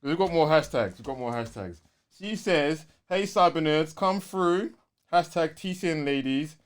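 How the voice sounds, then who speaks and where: Animated London man with slangy delivery. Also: fairly high pitch, moderate pace, smooth. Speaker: podcast co-host. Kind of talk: casual gaming podcast chat.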